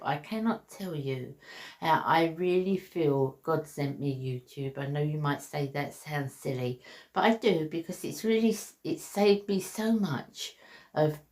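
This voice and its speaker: Chatty woman in her sixties. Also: lowish voice. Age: 60s. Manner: earnest, chatty, frequent ums and restarts. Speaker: beauty vlogger. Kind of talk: heartfelt casual vlog chat. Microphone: basic camera mic.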